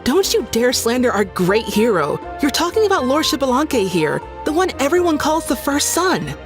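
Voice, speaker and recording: An actress playing a brash young woman in a game, snappy and quick to scoff. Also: high voice, sing-song. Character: young woman, brash and proud of her land's heroes. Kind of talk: game voice acting. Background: game music.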